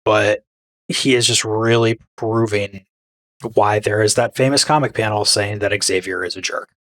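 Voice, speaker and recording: A man's voice: lowish voice, steady tone, moderate pace, fairly bright tone. A man co-hosting a fan podcast, chatting casually and giving blunt opinions. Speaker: podcast co-host. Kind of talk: casual chat.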